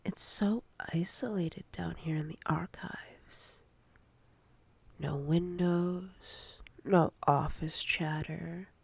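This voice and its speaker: A woman playing a domineering, teasing female boss in a whispered ASMR roleplay, breathy and husky, speaking deliberately with seductive command. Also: lowish voice, steady tone, no room sound, full character voice.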